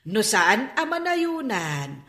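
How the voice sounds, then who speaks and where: Sing-song, sharp-tongued teasing woman. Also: on the high side, big pitch swings, slow, echoey room, heavily acted. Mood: teasing, scolding. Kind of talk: radio drama acting.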